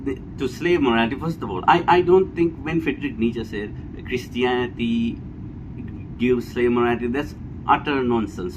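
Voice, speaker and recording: Sri Lankan male vlogger, mid-pitched, blunt and emphatic off-the-cuff opinions, clear background hum. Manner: emphatic, repetitive, blunt, often seeking agreement with tag questions. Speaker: vlogger giving personal opinion. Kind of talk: unscripted opinion monologue. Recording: clear steady background noise, air-conditioner hum.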